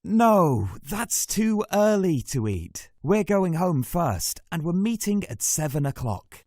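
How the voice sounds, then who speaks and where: A woman reading a scripted listening-test dialogue with clear, careful delivery. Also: lowish voice, sing-song, slow pace, warm tone, no echo, studio quality, full character voice. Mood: friendly.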